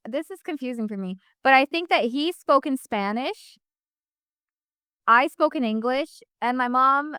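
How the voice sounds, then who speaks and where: A woman's voice: sits high, fairly bright tone, clean tone, no room sound, studio quality. A young woman from the United States, a guest talking casually in an interview, rambling with many fillers and restarts.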